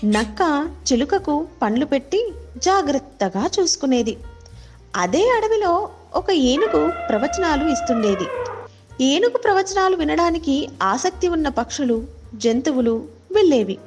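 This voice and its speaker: A woman storyteller reading scripted moral animal tales for children. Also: high-pitched. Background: faint music.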